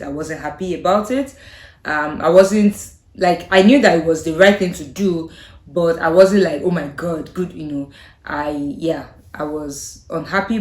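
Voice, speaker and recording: A young woman with a Nigerian accent chats to camera in a slightly low voice. She talks thoughtfully and hesitantly, her sentences often fading out softly. The room around her has a noticeable echo. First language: Yoruba. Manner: thoughtful, hesitant, trailing off softly with frequent laughs. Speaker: vlogger. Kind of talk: casual chat to camera. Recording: echoey room.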